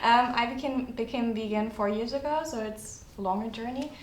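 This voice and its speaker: Podcast guest: woman, twenties, from Austria, easy chat, echoey room with low rumble. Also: normal speed. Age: 20s. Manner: talkative, agreeing often. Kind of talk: casual chat.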